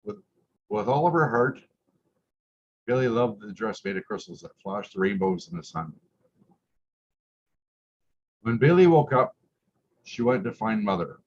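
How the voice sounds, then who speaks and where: A man's voice: on the low side, big pitch swings, moderate pace, long pauses, darkish tone. A man who is a drag queen reading aloud at story time, stumbling over words at times and chatting casually between passages with the odd "eh". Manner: tags remarks with "eh". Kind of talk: story time read-aloud with chanted refrains and casual asides. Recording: muffled lo-fi audio with clear background noise.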